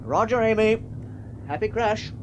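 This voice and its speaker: An actor playing a brisk, matter-of-fact airfield ground officer in a radio play. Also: male voice, high voice, lively intonation, background noise. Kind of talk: 1970s radio drama acting.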